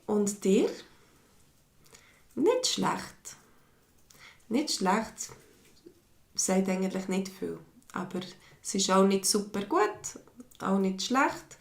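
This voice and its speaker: Swiss woman teacher, slow and patient, gravelly edge, roomy echo; live online lesson. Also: medium pitch, very animated. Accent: mixed Swiss German. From Switzerland. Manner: slow, patient, reads viewers' comments aloud. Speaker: language teacher. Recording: echoey room.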